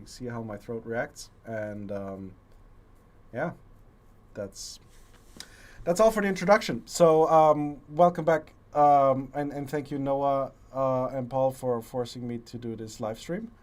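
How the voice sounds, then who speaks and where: A man hosting a casual livestream chat, talking haltingly with many fillers and restarts, his voice strained and deeper from a long illness. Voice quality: deeper, rough voice. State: voice strained from a long lung infection. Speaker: livestream host.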